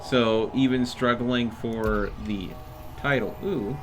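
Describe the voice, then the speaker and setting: A man's voice: mid-range voice, steady tone, takes it slowly, over music. A man livestreaming a game with casual commentary, rambling and thinking aloud as he plays. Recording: faint noise behind voice.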